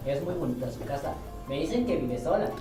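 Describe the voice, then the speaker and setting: A woman's voice: deep voice, expressive, brisk, warm tone. A woman playing a courteous, probing police officer in a radio drama, formal and questioning. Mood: polite, probing. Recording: roomy, distant sound with clear music.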